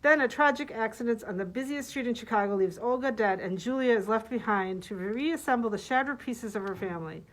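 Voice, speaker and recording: A woman's voice: fairly high pitch, fairly quick, few pauses, low-quality recording. A woman librarian recommending books, partly reading their summaries aloud. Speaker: librarian presenting book picks.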